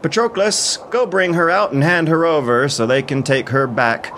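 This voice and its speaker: A man's voice: on the high side, normal speed, fairly bright tone, slight background noise, voice pushed up, lightly acted. A man voicing a proud young warrior hero, aggrieved and pleading, in impassioned, declamatory audio drama style. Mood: bitter, defiant. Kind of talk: audio drama acting of epic poetry. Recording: music behind the voice.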